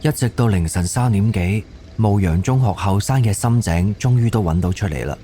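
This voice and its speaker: A man's voice: lowish voice, lively intonation, normal speed, warm tone, slight background noise. A man with a Cantonese accent narrating a scripted story for an audio drama.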